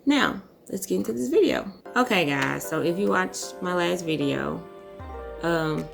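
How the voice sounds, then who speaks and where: A young woman, hoarse and husky, chatting casually as a beauty vlogger while telling a story. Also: lowish voice, unhurried. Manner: relaxed, chatty, says y'all and um often. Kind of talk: casual storytelling chit chat. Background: music.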